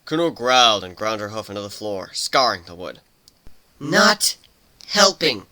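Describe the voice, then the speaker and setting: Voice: mid-range voice, fairly quick, pushed and bright. A narrator reading a scripted story in a dramatic, storytelling style. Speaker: fan fiction narrator.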